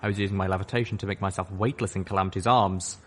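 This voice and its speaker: A man reading a fan-fiction audiobook aloud, narrating in the first person in a steady storytelling manner. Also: low voice, racing, husky. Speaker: audiobook reader.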